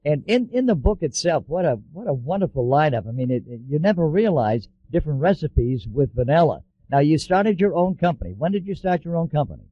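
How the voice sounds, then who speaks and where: A man's voice: fairly high pitch, big pitch swings, brisk, few pauses, no room sound, muffled recording. A man hosting a radio interview, chatty and repeating words as he starts his sentences. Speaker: radio host.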